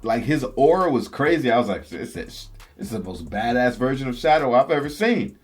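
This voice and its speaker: A man's voice: medium pitch, big pitch swings, normal speed, husky. A man hosting a vlog, giving casual, enthusiastic opinion commentary to viewers in slangy fan talk. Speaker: host of a video game and movie news channel. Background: background music.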